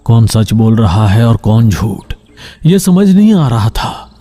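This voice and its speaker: A man narrates a scripted horror tale in a dark, mellow voice. His pitch is on the low side, and soft music plays faintly behind him. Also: sing-song, takes it slowly.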